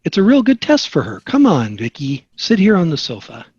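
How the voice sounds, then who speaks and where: A man acts out a chipper, genial sitcom father, reassuring and upbeat. His voice is a bit high and husky, on a slightly dull, lo-fi recording. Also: huge pitch swings, moderate pace, a little mellow, no room sound, full character voice. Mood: genial, firm. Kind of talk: sitcom table read. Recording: slightly dull, lo-fi online call.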